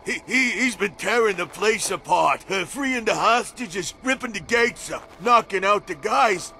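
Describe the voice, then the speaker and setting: A panicky gangster underling from a noir video game. His high voice is sharp and creaky, stammering with fear. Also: animated, unhurried, full character voice. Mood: panicked. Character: panicky, stammering male gangster henchman reporting to boss.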